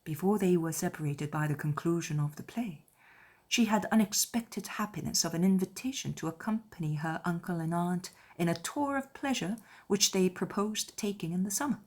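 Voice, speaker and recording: A woman reading scripted literary narration in a measured, formal storytelling manner. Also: lowish voice. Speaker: audiobook narrator.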